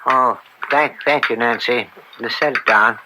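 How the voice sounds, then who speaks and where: A man acting in an old-time radio drama as an upright, conflicted middle-aged American judge, speaking gravely and deliberately with theatrical weight. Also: mid-range voice, sharp tone, a little hiss or hum, rough recording. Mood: distracted, paternal. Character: anguished, upright judge and father, bitter and conflicted.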